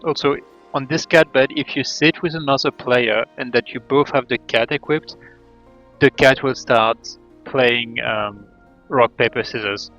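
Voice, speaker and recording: A man's voice: medium pitch, measured pace, fairly bright tone. Guy streaming games, muttering casually, lo-fi muffled audio, faint music behind. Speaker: game streamer. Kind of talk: casual live stream chat. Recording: dull lo-fi mic, faint game music.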